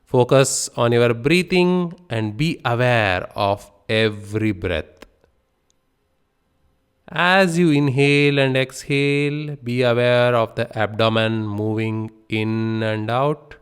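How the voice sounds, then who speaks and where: A man guiding a beginner meditation session in a calm, unhurried way. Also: medium pitch, clean tone. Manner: slow, calm, unhurried guidance with pauses. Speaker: meditation instructor. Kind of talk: guided meditation instruction.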